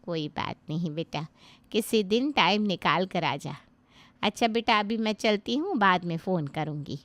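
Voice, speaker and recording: A woman's voice: fairly low pitch, animated, a little slow, a bit bright, no room sound. A woman playing a loving mother who talks affectionately with her married daughter over the phone. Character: doting middle-aged mother, gentle. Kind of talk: Hindi family drama acting.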